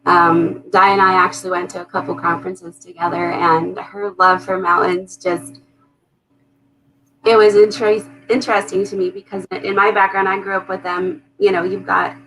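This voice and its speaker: A woman in her thirties from Colorado shares her background as a talk show guest. Her speech is relaxed and unhurried. She hesitates often and fills her pauses with "um.". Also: mid-range voice, rough recording. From Colorado, USA. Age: 30s. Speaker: guest author. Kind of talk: casual personal story.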